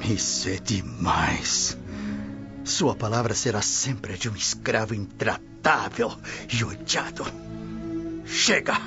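A man's voice: fairly low pitch, expressive, takes it slowly, exaggerated voice. A man with a Brazilian accent playing a haughty, irritable Roman official in a radio soap, imperious and scornful. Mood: angry, scornful. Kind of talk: radio soap acting in Portuguese. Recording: muffled radio drama with clear music underneath.

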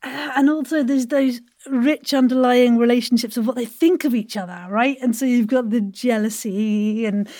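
A woman's voice: on the high side, fairly even intonation, normal speed, hardly any pauses, dark tone, dry recording, studio quality. A woman hosting a chatty interview podcast in an effusive, giggly manner.

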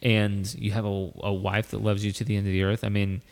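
A man with a lowish voice, talking earnestly and rambling with many restarts as a podcast host. The sound has a long, hall-like reverb. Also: flat delivery, a little fast, creaky. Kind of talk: casual chat.

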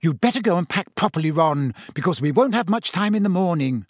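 A man voicing a brisk, scolding, fussing mother of a big family in a storybook. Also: high-pitched, sing-song, husky, dry recording, studio quality, voice pushed up, full character voice.

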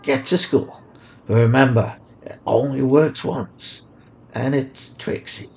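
A man's voice: mid-range voice, steady tone, slow, creaky, darkish tone, slightly rough, exaggerated voice. A man voicing a mysterious, urgent homeless man in a narrated story. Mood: urgent, cryptic. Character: mysterious grimy homeless man, urgent, coaxing, offering gifts.

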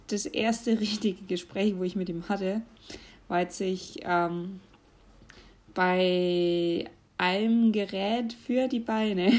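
A young woman speaks in a relaxed, unhurried way. She chats casually, with fillers and small laughs. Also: mid-range voice. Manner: chatty, laughs often, hesitant fillers. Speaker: vlogger. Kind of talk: casual advice talk.

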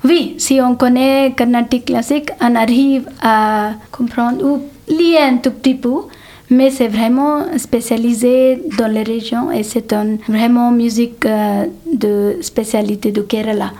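A woman from South India whose first language is Telugu, speaking with an Indian accent and answering interview questions in a halting, restarting way. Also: fairly high pitch, steady tone, mellow. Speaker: interviewee, singer. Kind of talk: conversational interview.

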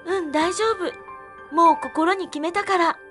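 Very high-pitched, polite young student played by a woman. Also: unhurried, over music. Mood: quietly resolved. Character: polite shy young female student, eager and gentle. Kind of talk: Japanese radio drama acting. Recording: clear background noise, outdoor ambience.

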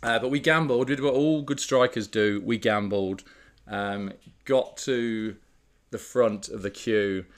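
A man co-hosting a casual podcast, telling a story in a relaxed, chatty way with frequent fillers. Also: on the low side, measured pace. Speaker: podcast co-host. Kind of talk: casual chat.

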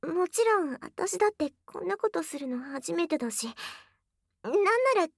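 A woman's voice: extremely high pitch, animated, moderate pace, no echo, full character voice. A voice actress playing a young tsundere girlfriend, bashful yet teasingly bold, in an intimate ASMR audio drama on a close binaural mic. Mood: shy, hesitant. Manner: flustered, stammering, breathy asides dropping into whispers. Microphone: close binaural microphone, dry studio.

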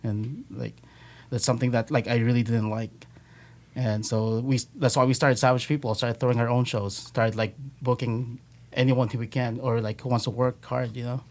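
A man's voice: medium pitch, level tone, normal speed. A man from the Philippines, a band member, chatting casually in a podcast interview, rambling with many fillers and frequent swearing.

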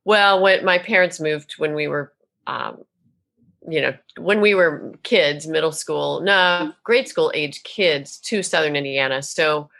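A woman from Tennessee with no regional accent, an animated, expressive storyteller talking as a podcast guest. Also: low voice, measured pace, gravelly. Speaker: guest, author and minister. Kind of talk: casual interview talk.

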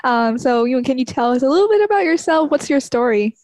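A woman's voice: sits high, animated, darkish tone, dry recording. Thoughtful woman from Vietnam, full of ums. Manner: thoughtful, many "um" pauses. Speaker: guest researcher, co-host. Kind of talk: casual interview talk.

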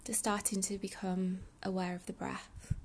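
A woman leading a guided meditation in a soothing, unhurried, breathy soft delivery with pauses. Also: mid-range voice, little pitch movement, a little hiss or hum. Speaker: meditation guide, yoga teacher.

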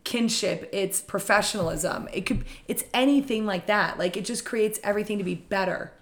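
Chatty woman with a slightly raspy voice. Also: medium pitch, moderate pace. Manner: chatty, enthusiastic storyteller. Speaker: real estate leader. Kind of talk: podcast conversation.

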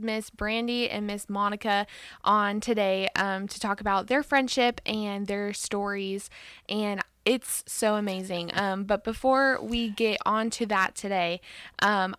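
A woman's voice: mid-range voice, a bit bright, clear, resonant tone, no echo, studio quality. A woman hosting a podcast, opening the show in an upbeat way with lots of fillers. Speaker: podcast host. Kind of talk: casual podcast intro.

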